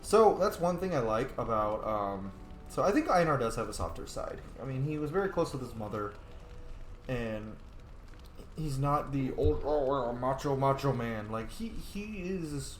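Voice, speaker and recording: A man narrates his game in a lively, up-and-down voice, cursing and shouting when fights turn bad. The room sounds echoey, and game music plays underneath. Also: medium pitch, moderate pace. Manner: excitable, swears freely, yells during fights. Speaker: gamer playing a roleplay series. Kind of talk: casual let's-play commentary. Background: game music and combat sounds.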